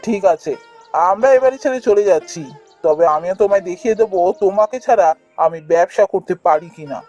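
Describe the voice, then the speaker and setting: A woman's voice: lowish voice, brisk, mellow, faint music behind, natural voice. A woman voicing a principled, kind-hearted younger brother in a fairy-tale cartoon, earnest and firm. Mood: hurt, defiant.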